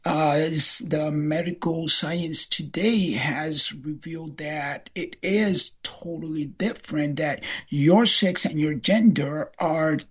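A woman in her sixties, an author, speaking slowly and hesitantly as she shares personal memories in an interview. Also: deep voice, breathy edge. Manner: slow, hesitant, emotional. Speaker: guest author.

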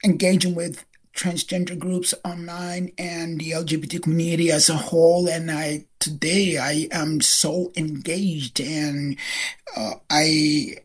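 Older woman guest author, husky and unhurried, hesitant emotional delivery. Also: low voice, level tone. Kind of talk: personal interview.

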